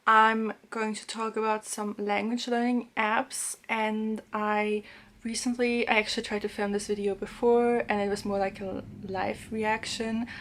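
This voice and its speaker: A woman's voice: mid-range voice, little pitch movement, a little slow. A young woman from German-speaking Switzerland, a native Swiss German speaker, chatting casually to camera about language apps with frequent ums and little laughs, in a small room. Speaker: language vlogger. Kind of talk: casual app review to camera. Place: small room indoors, plain blue wall.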